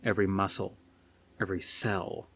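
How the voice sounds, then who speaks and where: A man's voice: low voice, little pitch movement, moderate pace, bright, forceful tone, breathy edge. A man reading aloud as an audiobook narrator, in a dramatic scripted style. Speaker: audiobook reader. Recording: faint noise behind the reading.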